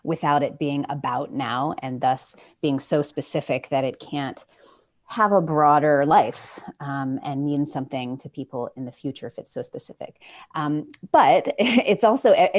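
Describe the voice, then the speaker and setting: A woman panelist explaining her work in an animated, casual way. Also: sits low, moderate pace. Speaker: panelist, playwright. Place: indoors on a video call.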